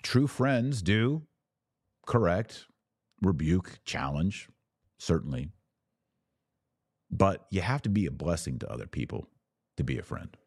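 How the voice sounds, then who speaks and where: Male podcast host, low mellow voice, earnest and exhorting, talking informally straight to his audience. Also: sing-song, a little slow, long pauses, husky, no room sound, clean studio sound. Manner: earnest, exhorting, poses questions and answers them. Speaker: podcast host answering live viewers. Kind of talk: informal livestream advice monologue.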